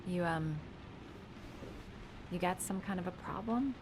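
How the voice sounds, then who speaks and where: A woman playing a caring, guarded young helper in a cinematic video game, speaking gently and hesitantly. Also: on the low side, a little slow, lightly acted. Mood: hesitant, troubled. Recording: clearly noisy background ambience.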